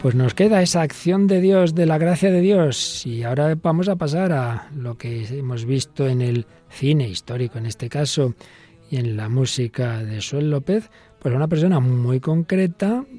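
Priest on the radio, calm reflective talk, dark husky voice, soft music under. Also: male voice, medium pitch. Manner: measured, thoughtful, quoting songs and authors.

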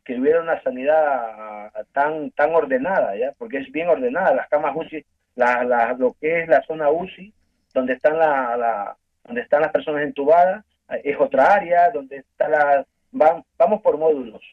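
A man from Ecuador with an Ecuadorian accent, earnestly telling his story as a guest in a phone-in interview, halting and restarting often. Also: fairly high pitch. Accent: Ecuadorian Spanish. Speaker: guest giving a testimony. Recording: tinny phone line.

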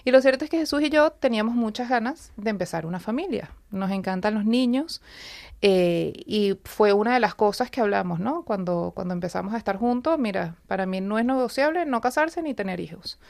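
A woman's voice: mid-range voice, lively intonation, a little fast, creaky, a little mellow, no room sound. A woman, a radio guest telling a personal story in an earnest, flowing way, speaking in a studio. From Venezuela. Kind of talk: personal testimony in a radio interview.

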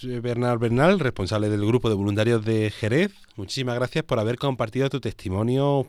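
A man's voice: medium pitch, a little mellow, no room sound, clean studio sound. A man with an accent from Spain hosting a conversational radio interview. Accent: Spanish from Spain. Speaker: radio host.

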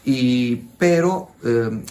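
A man's voice: medium pitch, expressive, drawn-out words, warm tone. A man, an Italian priest with an Italian accent, speaking Spanish as a second language and telling his testimony in a hesitant way, often searching for words. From Italy. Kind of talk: radio interview testimony.

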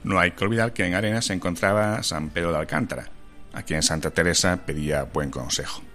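Deep-voiced male news narrator. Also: sharp tone, soft background music. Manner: measured, formal reading. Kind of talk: scripted voice-over narration.

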